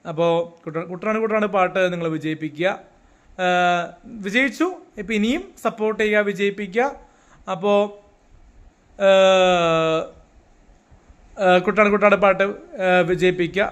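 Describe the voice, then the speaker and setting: A man talking casually as a promoter, halting and repeating himself. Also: sits high, fairly bright tone, clear, resonant tone. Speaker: song promoter. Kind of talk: casual promotional talk with fillers.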